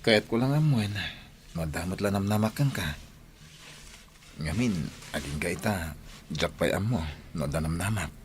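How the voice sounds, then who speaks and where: Casting: actor as hopeful radio-drama sweetheart, eager suitor, lowish voice over faint background noise. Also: male voice, animated, moderate pace, pauses often. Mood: hesitant, hopeful. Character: eager, hopeful suitor confessing his love. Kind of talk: Ilocano radio drama acting.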